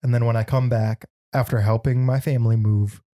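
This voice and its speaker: A man's voice: medium pitch, steady tone, brisk, dark tone, no room sound, studio quality. Laid-back joking young man. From Michigan. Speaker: podcast co-host. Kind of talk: casual chat.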